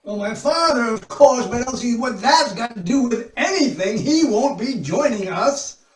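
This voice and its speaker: A woman playing a flustered, forgetful, pompous old gentleman in a comic readers' theater sketch, stammering and muttering. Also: a little high, lively intonation, moderate pace, lightly acted. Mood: exasperated. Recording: roomy, distant online video call.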